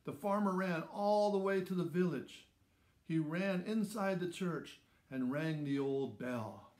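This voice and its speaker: A man in his sixties narrates a children's story at a slow pace. His phrasing is measured and simple, with dramatic emphasis on key words. The recording has a clear room echo around the voice. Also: on the high side, lively intonation, darkish tone. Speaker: storybook reader.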